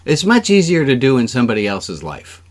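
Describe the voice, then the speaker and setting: A man's voice: medium pitch, huge pitch swings, brisk. A man speaking as a rabbi at an informal online service, conversational and often putting questions to the group. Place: online video-call gathering.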